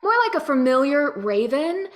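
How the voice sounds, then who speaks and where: A woman chatting casually as a guest, explaining things in a friendly, animated way. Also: sits high, normal speed. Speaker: guest, voice actor. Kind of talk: casual chat.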